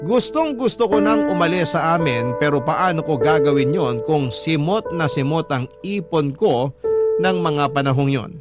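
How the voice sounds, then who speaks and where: A man's voice: a little high, lively intonation, moderate pace. A man with a Filipino accent hosting a radio story program, reading a letter as dramatic scripted narration. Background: prominent music.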